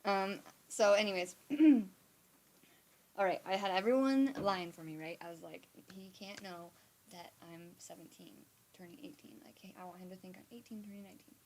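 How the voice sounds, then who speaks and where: A woman's voice: medium pitch, normal speed, a little hiss or hum, poor audio. A woman in her thirties from Montana, telling a story on a live stream in a rambling, chatty way, full of fillers, restarts and casual swearing. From Montana, USA. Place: home, indoors. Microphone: phone or webcam on a live stream.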